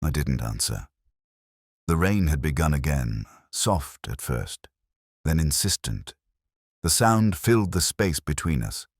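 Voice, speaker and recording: A man reading a scripted first-person detective story as narrator, in a measured, solemn, literary manner. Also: extremely low pitch, very animated, no echo, clean studio sound.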